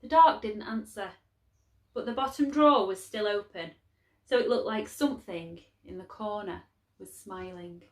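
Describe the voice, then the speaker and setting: A woman reading a story aloud to young children in a measured, expressive way with clear phrasing. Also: mid-range voice, echoey room.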